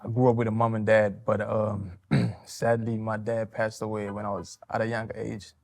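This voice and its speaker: A man in his twenties from Tanzania, answering interview questions politely, softly and with loose, hesitant phrasing. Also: lowish voice, level tone, normal speed, gravelly. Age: 20s.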